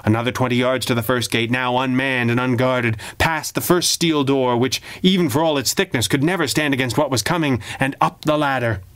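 A man with a clear, resonant voice narrates a pulp adventure tale. His delivery is theatrical and expressive, at a medium pitch, like a storyteller fully invested in the drama. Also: fairly quick, hardly any pauses. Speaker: audiobook narrator.

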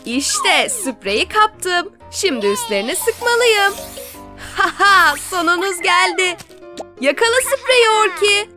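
A woman's voice: very high voice, big pitch swings, slow pace, music in the background, heavily acted. A woman voicing a lion character in a children's cartoon, with exaggerated sing-song delivery. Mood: excited, urgent. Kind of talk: children's cartoon dub, rhymed lines.

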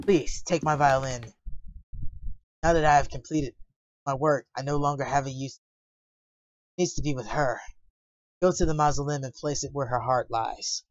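A woman voicing a grieving male violinist composer, reading his lines quietly and earnestly, on dull lo-fi stream audio. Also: a bass voice, moderate pace, pauses often, dry recording. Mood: solemn.

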